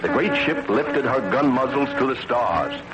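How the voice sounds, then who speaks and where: A man's voice: medium pitch, wildly swooping pitch, moderate pace, poor audio. An American actor playing an earnest navy scientist who narrates his story in dramatic old-time radio style. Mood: dramatic, triumphant. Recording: vintage radio broadcast recording. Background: prominent music.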